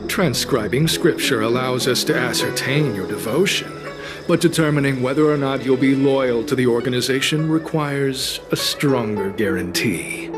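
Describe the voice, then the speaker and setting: An actor playing a calm, coolly sinister cult recruiter in a video game, speaking formally with polite menace. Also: male voice, mid-range voice, normal speed, music in the background, natural voice. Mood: coolly menacing. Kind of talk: scripted video game cutscene acting.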